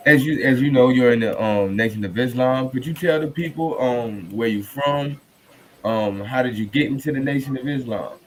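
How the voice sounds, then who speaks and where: A man hosting a podcast, speaking in a halting, courteous and reverent conversational way. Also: medium pitch, rough recording. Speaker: podcast host. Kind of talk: conversational podcast introduction and discussion. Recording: noticeable background noise.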